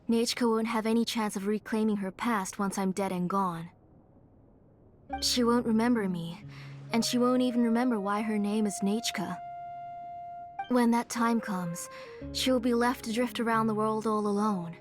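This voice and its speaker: An actress playing a weary, devoted elderly aunt who speaks in a soft, tired, measured way in a video game. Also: female voice, medium pitch, lightly acted. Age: older. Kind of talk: video game dub acting. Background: game music.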